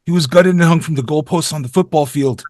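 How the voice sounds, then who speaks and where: A man playing an excitable teenage horror-movie geek in an amateur table read. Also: a little high, fast, no echo, clean studio sound, close to an everyday voice. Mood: shocked.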